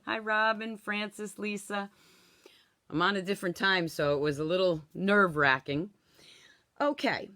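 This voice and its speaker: A woman in her sixties leads a friendly live stamping demonstration at her craft table. Her voice is a little hoarse from a cold, and her delivery is chatty and easygoing. The sound is dry and close, with no room echo. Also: lowish voice, fairly bright tone. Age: 60s. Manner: chatty, tags instructions with "okay?". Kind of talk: live-streamed craft tutorial.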